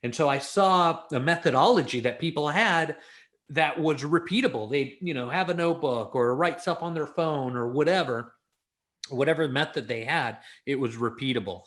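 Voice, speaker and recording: A man's voice: a little high, moderate pace, a bit bright. A man from Los Angeles with an American accent, talking casually and informally as an interview guest, in a storytelling way. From Los Angeles, United States.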